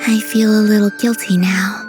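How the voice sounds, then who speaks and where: An actress playing an earnest, reflective young woman who is gentle yet frank, in a video game. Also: mid-range voice, little pitch movement, moderate pace, over music, close to an everyday voice. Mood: reflective, regretful.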